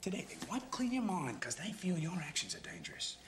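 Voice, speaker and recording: A man's voice: high-pitched, huge pitch swings, a little fast, echoey room, background noise. An actor playing a sensationalist male TV journalist in a film satire, with an emphatic, profane, salesman-like patter. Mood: ranting. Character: sensationalist, hustling male TV journalist buttering up a killer.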